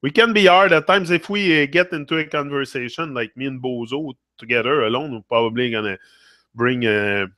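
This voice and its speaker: A man's voice: medium pitch, fairly quick, bright, forceful tone, no echo. A man with a Québécois French accent, a native French speaker hosting a casual livestream, chatting with viewers and switching back and forth between English and French. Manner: switches between English and French mid-thought, tags phrases with "là". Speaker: livestream host. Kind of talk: casual live-stream chat reading comments.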